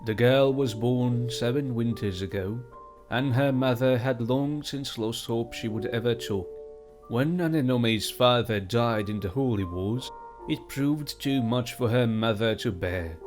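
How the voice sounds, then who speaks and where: A man reading a fantasy story aloud in a measured, even storytelling voice. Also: mid-range voice, over music. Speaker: story reader.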